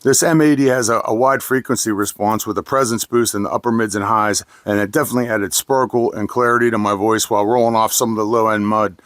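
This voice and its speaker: A man's voice: fairly low pitch, steady tone, fast, hardly any pauses, a bit bright, no echo, studio quality. A middle-aged man with a nasal voice, giving a plain, friendly product review.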